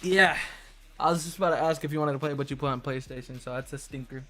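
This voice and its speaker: A man's voice: a little high, quick pace, a bit bright. A man chatting casually with viewers as a game streamer, friendly, appreciative and slangy. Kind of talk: casual livestream chat with viewers.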